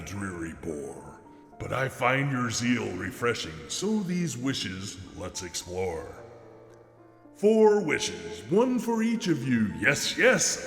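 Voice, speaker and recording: A man's voice: medium pitch, vocal fry, fairly bright tone, voice pushed up, heavily acted. Grandiloquent demon played by a man, drenched in reverb. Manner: grandiloquent, rhyming, exclamatory speech. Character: theatrical demon, haughty at first, then genial and flattering. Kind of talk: dramatic poem reading. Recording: long reverb with music behind.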